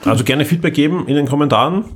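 Austrian male podcast host, slightly rough husky voice, conversational moderating and reviewing. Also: on the high side, animated, very fast. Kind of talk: casual moderating and review chat.

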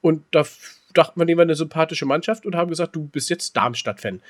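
A man with a slightly high voice chats casually as a podcast co-host. He teases as he goes and rambles through his stories, often repeating words. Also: fast. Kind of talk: casual banter and storytelling.

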